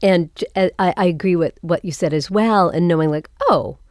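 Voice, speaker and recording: A woman's voice: lowish voice, moderate pace, a little mellow, no echo, clean studio sound. A woman guest talking casually in an interview discussion. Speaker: panel guest.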